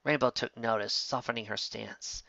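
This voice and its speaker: A man's voice: mid-range voice, fairly even intonation, normal speed, vocal fry, bright, forceful tone, no echo, muffled recording. A man reading a fan fiction story as narrator, occasionally stumbling over words.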